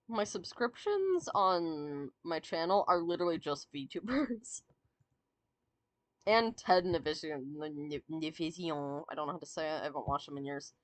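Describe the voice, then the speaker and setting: A woman chats her way through a song ranking, drawn out and full of fillers, giggles and restarts. Her voice has gone a little raspy and sore from long talking. She speaks slowly, and the sound is dry and close. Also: fairly low pitch, no room sound. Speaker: VTuber streamer. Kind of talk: casual fan commentary, ranking songs.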